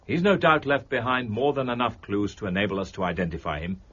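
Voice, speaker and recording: An actor playing a reclusive, brilliant gentleman detective in a period mystery drama, with precise, formal, analytical speech. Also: on the low side, quick pace, breathy edge, dull audio. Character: reclusive, brilliant gentleman amateur detective, weary then energized.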